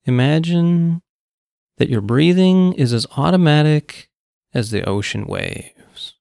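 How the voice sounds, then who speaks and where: A man leading a guided meditation, speaking in calm, measured phrases with long pauses. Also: fairly high pitch, vocal fry, no room sound, studio quality. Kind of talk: guided meditation, slow soothing instruction.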